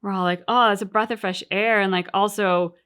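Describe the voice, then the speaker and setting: A woman's voice: mid-range voice, fairly even intonation, brisk, fairly bright tone, clean tone. A woman hosting a reaction channel, talking casually and reflectively as she discusses a game's story in an earnest way. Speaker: host of a game reaction channel.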